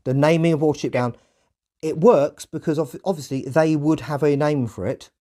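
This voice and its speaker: Guy podcast host, mellow relaxed voice, thinks out loud and corrects himself, casual chat. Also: fairly high pitch, normal speed. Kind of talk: casual discussion.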